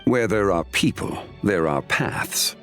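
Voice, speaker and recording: A man voicing a calm, wise, dignified gentleman in a video game, speaking in a measured, formal, philosophical way. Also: lowish voice, soft background music. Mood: serene, musing.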